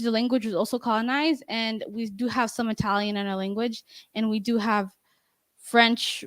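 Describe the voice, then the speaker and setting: A woman's voice: medium pitch, no echo. Young woman presenting with a handheld mic. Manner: halting, many restarts and fillers. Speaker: student presenter. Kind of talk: class presentation and Q&A. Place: bright office-style meeting room. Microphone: handheld microphone.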